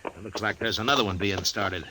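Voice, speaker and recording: An actor playing a stern, steady U.S. Marshal in a 1950s radio western. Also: male voice, fairly low pitch, brisk, lightly acted. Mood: steady, businesslike. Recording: faintly noisy 1950s radio recording.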